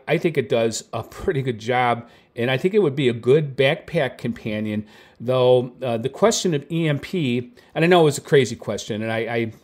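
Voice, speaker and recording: Halting man explaining hobby gear casually. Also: mid-range voice, darkish tone. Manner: halting, self-correcting, tentative. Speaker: video host, radio hobbyist. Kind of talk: casual product talk, unscripted explaining.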